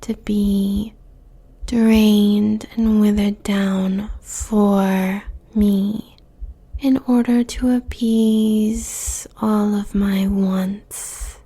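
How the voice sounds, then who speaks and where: A woman playing a possessive, seductive succubus demon queen in a breathy, teasing whisper, as an intimate audio roleplay. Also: medium pitch, little pitch movement, warm tone, exaggerated voice. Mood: commanding, sultry. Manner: slow, teasing, drawn-out phrases.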